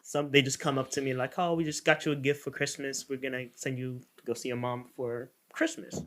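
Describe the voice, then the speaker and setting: A man in his thirties, from Uganda, telling his life story as an interview guest with animated, laughing asides. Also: medium pitch, fairly quick. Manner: animated, laughing, acts out quoted voices. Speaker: guest radiologist.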